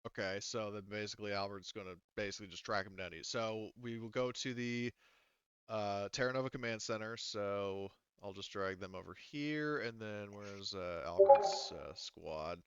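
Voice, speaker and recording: A man acting as game master, casually narrating a tabletop roleplaying game in a halting way as he thinks aloud. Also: fairly low pitch, clean tone, no echo, muffled recording.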